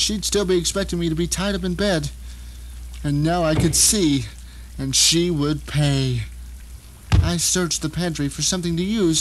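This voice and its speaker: A man acting a high-voiced, bitter captive narrator. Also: a little hiss or hum, close to an everyday voice, low-quality recording. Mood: vengeful. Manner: melodramatic, sardonic, deliberate phrasing. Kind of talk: dramatic first-person audio drama monologue.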